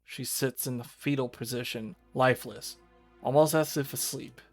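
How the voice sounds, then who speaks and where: A man's voice: mid-range voice, normal speed. A man reading visual novel narration aloud as a streamer. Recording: music behind the voice.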